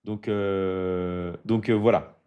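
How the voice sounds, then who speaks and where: A man's voice: sits low, fairly even intonation, drawn-out words, dark tone, smooth, lo-fi sound. A man from France, hosting a business coaching live stream. He speaks casually and repeats himself a lot, full of fillers and hype, and keeps checking in with his viewers.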